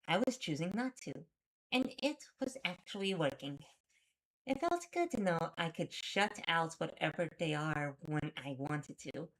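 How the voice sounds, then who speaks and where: A woman acts out a stubborn heroine narrating her own horror comic. The voice sits slightly low for a woman, with a sardonic, exclamatory delivery that keeps a sarcastic, exasperated edge. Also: low-quality recording. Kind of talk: dramatic first-person comic readalong narration.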